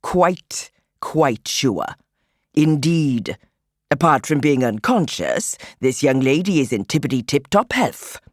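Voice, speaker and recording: A woman's voice: rumbling low, big pitch swings, takes it slowly, no room sound, studio quality, full character voice. A woman voicing a fussy, flamboyant, grandfatherly man in his seventies for a children's audiobook, with precise, courteous phrasing. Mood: reassuring, fussy. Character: spry, theatrical, grandfatherly immortal old man, genteel godfather.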